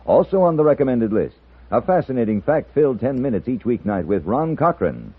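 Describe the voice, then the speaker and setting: A man's voice: mid-range voice, very animated, a little fast, slightly rough. A man announcing a scripted programme promo as a 1950s radio network announcer. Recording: tinny old radio recording.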